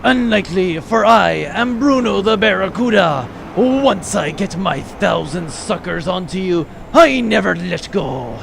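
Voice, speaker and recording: A man's voice: high-pitched, lively intonation, a little slow, gravelly, hardly any pauses, a little hiss or hum, pitched below the performer's own voice, heavily acted. A man voicing a blustering, vengeful alien barracuda pirate villain in a comic audio adventure, with theatrical menace. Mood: boastful, menacing. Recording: loud music behind the voice.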